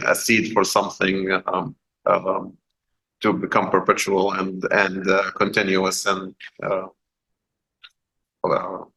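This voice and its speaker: A man in his forties, raised in Saudi Arabia, introduces himself at a forum. His voice is deep yet bright and forceful, and he speaks haltingly, stopping often on "uh". It is heard in an echoey meeting room. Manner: halting, many "uh" fillers. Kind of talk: self-introduction at a community forum.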